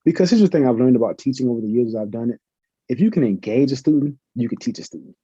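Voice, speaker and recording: Middle-aged man, professor guest; fast, husky, very dark tone, muffled lo-fi sound. Earnest, reflective. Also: mid-range voice, animated, dry recording. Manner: earnest, reflective, uses many fillers. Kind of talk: conversational interview answers. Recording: muffled lo-fi audio.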